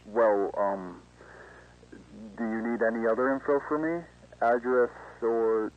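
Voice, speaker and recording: A man's voice: mid-range voice, fairly even intonation, a little slow, slight background noise, lightly acted. A man playing a polite, laid-back young job seeker, speaking hesitantly and casually in an acted phone conversation. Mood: casual, interested. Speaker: voice actor in an analog horror video. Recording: dull, lo-fi phone-call sound.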